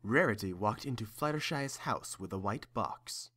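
A man's voice: fairly low pitch, expressive, moderate pace, sharp tone. A man narrating a scripted story.

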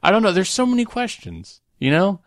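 A man's voice: high voice, wildly swooping pitch, brisk, no echo, clean studio sound. A man co-hosting a podcast, chatting casually and telling rambling anecdotes in a jokey way. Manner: jokey, prone to chuckling. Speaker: podcast co-host.

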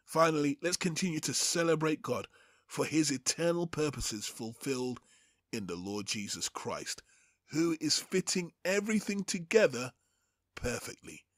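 Male Bible teacher, slightly high voice, emphatic and deliberate sermon delivery, close dry sound. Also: very animated, no room sound. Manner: deliberate, emphatic, phrase-by-phrase delivery with rhetorical questions.

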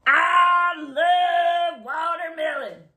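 A woman voicing a dramatic young crocodile who loves watermelon and panics easily, exclaiming in drawn-out words for a children's storybook. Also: extremely high pitch, pushed and bright, voice pushed up, full character voice. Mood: gleeful, greedy. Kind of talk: children's storybook read-aloud.

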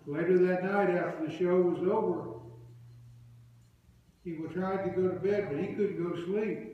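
A man's voice: high-pitched, fairly even intonation, moderate pace, a little hiss or hum. A middle-aged man in costume telling a folksy story from a church stage, chuckling as he talks. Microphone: far room mic. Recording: echoey sanctuary, far, dull.

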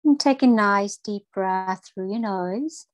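A woman leading a guided breathing meditation for children, speaking in a gentle, soothing and encouraging way. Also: medium pitch, takes it slowly, no room sound.

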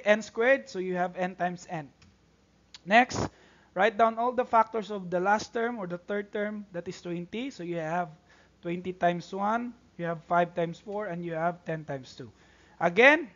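A man's voice: sits high, fairly bright tone. A man with a Filipino accent, a native Bisaya speaker, teaching step by step in a repetitive, instructional manner. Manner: instructional, repetitive, checks in often. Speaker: math teacher. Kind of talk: tutorial lesson, code-switching explanation. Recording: dull, lo-fi voice-over recording.

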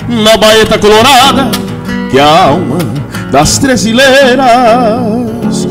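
Male folk singer, very high voice, very slow, loud guitar under it. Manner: slow, drawn-out singing. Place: TV studio set styled as rustic ranch. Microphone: stand mic.

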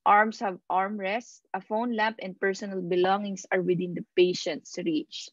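A woman instructor giving a slide lecture over a video call, reading out points and explaining them haltingly, pausing mid-phrase. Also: medium pitch, flat delivery, no room sound, muffled recording. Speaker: instructor of nursing assistant course.

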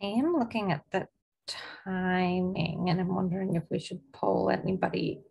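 A woman's voice: fairly low pitch, moderate pace, creaky, husky, lo-fi sound. A woman helping run an online session, chatting casually on a video call.

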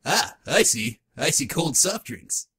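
A man's voice: on the high side, very animated, slow, slightly rough, heavily acted. A man voicing a zany, boastful masked trickster and crime boss in a comic book dub. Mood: smug menace. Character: manic green-faced masked trickster turned crime boss, gleeful and menacing. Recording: loud music behind the voice.

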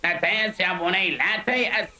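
A man's voice: high voice, fast, pushed and bright. A man voicing a kindly, apologetic insect father in a cartoon, earnest and fretful. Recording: old cartoon dub, music behind.

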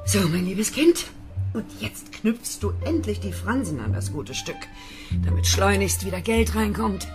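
Woman acting impulsive loving mom in a radio play, really animated, blurts stuff out, music under it. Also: medium pitch, measured pace, close to an everyday voice. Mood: impatient. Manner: colloquial, exclamatory. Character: impulsive, affectionate, unconventional, exclamatory mother.

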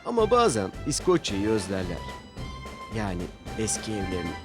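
A man's voice: fairly low pitch, huge pitch swings, normal speed. A man narrating a scripted children's story as the storyteller. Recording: music bed behind narration. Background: music.

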